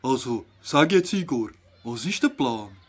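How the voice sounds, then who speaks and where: A man's voice: mid-range voice, big pitch swings, unhurried, husky. A man playing a fawning schoolboy sidekick in a children's radio play, drawling in a Swiss German accent. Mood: curious. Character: slow, admiring schoolboy sidekick to a schemer.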